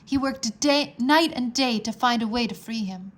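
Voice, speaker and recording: Woman as an earnest, hurried young boy wizard. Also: fairly high pitch, fairly bright tone. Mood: wistful. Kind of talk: children's storybook character voice.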